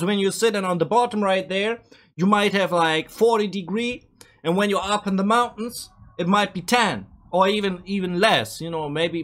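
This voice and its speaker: A man's voice: sits high, a bit bright. German-accented man thinking aloud. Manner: hesitant, thinking aloud, says man a lot. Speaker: reaction video creator. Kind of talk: casual reaction commentary.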